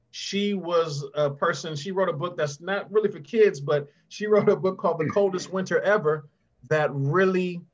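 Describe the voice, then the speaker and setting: A middle-aged man speaking as a guest author, chatting encouragingly and directly to children on a video call. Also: on the high side. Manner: conversational, addresses children directly by name. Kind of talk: encouraging question-and-answer talk to children. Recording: dull, muffled video call audio.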